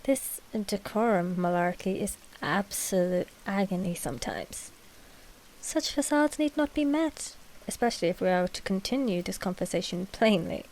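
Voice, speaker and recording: A woman's voice: medium pitch, lively intonation, normal speed, darkish tone, close to an everyday voice, rough recording. A woman playing a proud, possessive vampire queen-to-be in an audio roleplay, with haughty, formal, precise speech. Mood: candid. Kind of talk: scripted audio roleplay drama.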